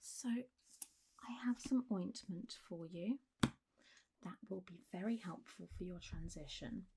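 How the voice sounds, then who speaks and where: A woman doing a soft, half-whispered ASMR roleplay as a gentle, reassuring doctor talking a patient through care, in a close recording with faint hiss. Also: on the low side, creaky. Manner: gentle, reassuring, unhurried doctor's bedside talk. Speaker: ASMR performer playing a clinic doctor.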